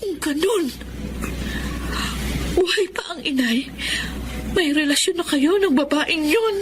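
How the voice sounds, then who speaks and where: An actress playing a grieving, dutiful young eldest daughter, wounded but respectful, in a Filipino radio drama. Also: female voice, high voice, animated, slow pace, noisy background. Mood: confronting, hurt.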